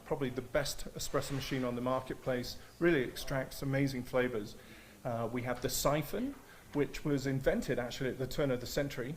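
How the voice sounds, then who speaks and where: A man's voice: medium pitch, slight background noise. A man who owns a coffee shop, speaking enthusiastically in an on-location interview, with frequent "uh" and "um".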